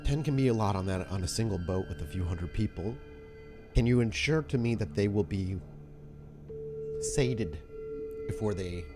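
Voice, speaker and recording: A man plays a female vampire leader in a tabletop horror game, pitched low. She is suspicious and pragmatic, bargaining in frank, halting phrases over a faint music bed. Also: expressive, natural voice. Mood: wary, bargaining.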